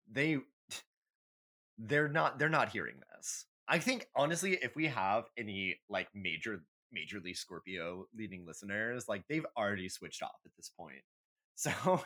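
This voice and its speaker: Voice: medium pitch, big pitch swings, measured pace, a bit bright. A podcast co-host in casual chat, giving candid, emphatic, sweary opinions like a comic rant.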